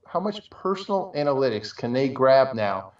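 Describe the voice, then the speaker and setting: A man co-hosting a talk show in casual chat, speaking in a halting, rambling way. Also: mid-range voice, big pitch swings, a little mellow. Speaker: show co-host. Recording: roomy, distant, dull microphone.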